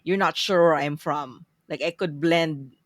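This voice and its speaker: A woman's voice: low voice, measured pace, no room sound. Filipino woman guest, chatty, dry remote-call sound. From Philippines. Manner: chatty, frequent laughs and "right?" tags. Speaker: podcast guest. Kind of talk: casual chat. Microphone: remote call recording.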